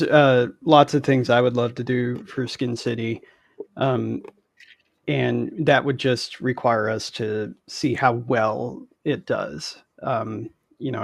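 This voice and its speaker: A man's voice: mid-range voice, gravelly, no room sound. Man in casual talk, dry close sound. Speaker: game creator being interviewed. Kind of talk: casual interview chat. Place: indoors, on a video call.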